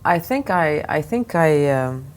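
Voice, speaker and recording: A woman's voice: low voice, very animated, unhurried. A woman originally from Lebanon, answering interview questions as an art fair director, with thoughtful answers full of restarts and fillers. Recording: faint background noise.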